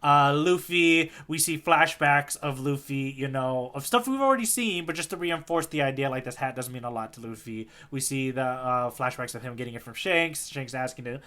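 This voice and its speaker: A man's voice: medium pitch, normal speed, few pauses, bright, forceful tone. A man co-hosting a podcast, recapping a story in casual, enthusiastic chat. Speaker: podcast co-host.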